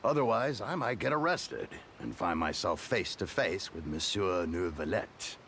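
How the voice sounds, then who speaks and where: A man voicing an easygoing, chatty townsman with a relaxed, joking manner, with game music underneath. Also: low-pitched, unhurried, a little hiss or hum, full character voice. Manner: relaxed, jokey.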